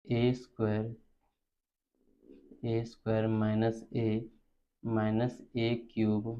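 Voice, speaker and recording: A man's voice: fairly low pitch, warm tone. A man with an Indian accent teaching maths step by step, instructional and repeating terms as he works. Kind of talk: step-by-step spoken lesson. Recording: dull lo-fi recording.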